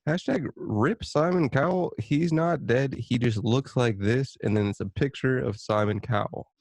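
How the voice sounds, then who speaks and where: A man's voice: medium pitch, unhurried, a little mellow, no echo. A man co-hosting a podcast in casual comedic banter, joking and swearing freely. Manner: joking, profane. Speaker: podcast co-host.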